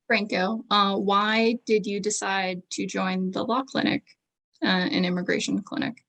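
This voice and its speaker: A woman's voice: mid-range voice, flat delivery, a little slow, gravelly, fairly bright tone. A woman moderating a law panel, politely asking questions with small fillers.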